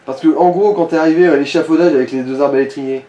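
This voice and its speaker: A man's voice: on the high side, steady tone, fast, mellow, highs cut off. A man chatting casually as he guides a player through a video game, with crude slang and swearing. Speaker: let's play co-commentator guiding the player. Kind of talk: casual gaming chat. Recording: roomy echo with clear background noise.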